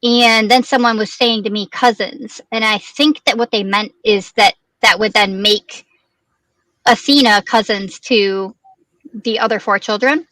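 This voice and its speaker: Bright-voiced woman, close and dry. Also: mid-range voice, steady tone, a little slow, no echo, low-quality recording. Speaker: guest commentator on a true crime livestream. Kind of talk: casual discussion of a news case.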